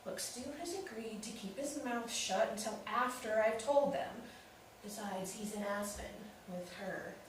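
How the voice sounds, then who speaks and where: A woman's voice: on the high side, expressive, measured pace. A woman acting a wounded, defensive, newly separated sister in a staged play reading, candid and emotional. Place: live staged reading in an echoey room. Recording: very echoey room, distant mic, faint noise.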